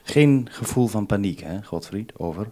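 A man's voice: on the low side, lively intonation, moderate pace. A man working as a radio reporter, speaking in a live link-up on an old broadcast recording. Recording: old radio recording with faint noise, clicks.

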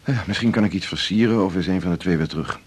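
An actor playing a middle-aged senior doctor in a radio drama, a husky-voiced boss who talks in a brisk, familiar, patronising way. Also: male voice, low-pitched. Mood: firm, placating. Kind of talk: naturalistic Dutch radio drama dialogue.

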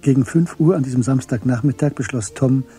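An actor as the composed narrator of a German crime radio drama, reading in a measured, understated way. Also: male voice, mid-range voice, warm tone, breathy edge, faint music behind.

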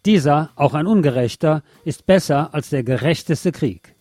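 Mellow man hosting a radio show. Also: a little high, expressive, moderate pace, no room sound. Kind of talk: scripted radio monologue.

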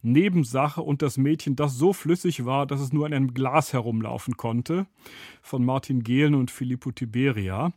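A man's voice: mid-range voice, normal speed, a little mellow, no room sound. Man explaining in a dry studio. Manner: articulate, analytical, learned wording. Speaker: radio drama expert, studio guest. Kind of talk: explanatory studio interview talk.

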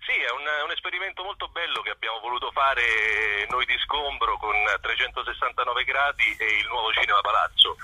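A man being interviewed as a performer, talking casually and reflectively, often pausing to search for his words. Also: mid-range voice, sharp tone, breathy edge, no echo, low-quality recording. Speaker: interview guest, singer-songwriter and performer.

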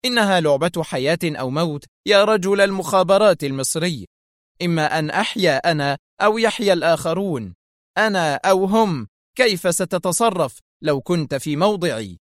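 A man voicing a hot-tempered, scheming woman crime boss in audiobook dialogue, sharp, agitated and insistent. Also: high-pitched, lively intonation, fairly quick, no echo, studio quality, voice pushed up. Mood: defiant, exasperated.

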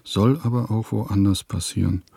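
An actor playing a sardonic provincial composer reading his diary in a radio play, with dry, deliberate phrasing. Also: lowish voice, fairly even intonation, vocal fry, warm tone, husky, dry recording. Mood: wry, resigned.

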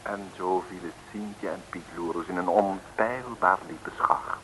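A man's voice: low voice, slow, a bit bright, rough recording. A man narrating a vintage comic radio serial in a tongue-in-cheek, chatty way, with asides to listeners. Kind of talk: wry scripted radio narration, 1960s. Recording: hissy old radio recording.

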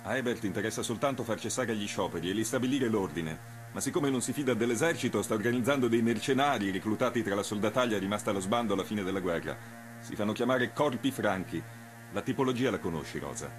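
An actor as a fast-talking, serious male comrade. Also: fairly low pitch, a little hiss or hum, lightly acted. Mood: grave. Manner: explanatory, serious. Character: grave, politically alert male revolutionary comrade. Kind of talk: theatrical radio drama dialogue.

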